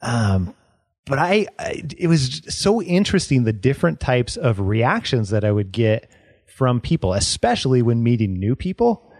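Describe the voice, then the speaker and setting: A man's voice: mid-range voice, big pitch swings, normal speed, darkish tone, dry recording, clean studio sound. A man hosting a podcast, sharing personal experiences in a searching, hesitant way. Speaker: podcast host. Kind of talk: casual chat.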